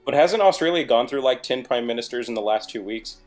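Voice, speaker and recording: A man's voice: mid-range voice, lively intonation, a little fast, soft background music. A man chatting casually and bluntly about his opinions as a guest on an online group call, sometimes swearing. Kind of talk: casual chat, political opinions.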